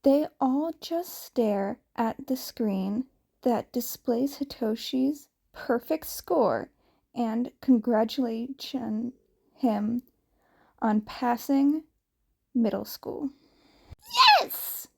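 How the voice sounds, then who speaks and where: A woman's voice: a little high, extremely slow, gravelly, warm tone, breathy edge. A woman reading a scripted story aloud as narrator. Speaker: fan fiction reader.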